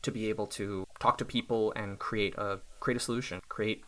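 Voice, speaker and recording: A man in his thirties with a lowish voice talks through his work choices in an easy, reflective way. He often restarts his sentences and thinks aloud. It sounds like a relaxed podcast conversation. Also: moderate pace. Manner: thoughtful, reflective, with restarts and small laughs. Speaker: self-employed software developer.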